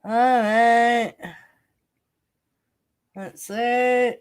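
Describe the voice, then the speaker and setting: A woman's voice: fairly high pitch, fairly even intonation, extremely slow, clean tone. A woman with a stuffy nose hosting a live stream and greeting viewers in chat. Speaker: stream host.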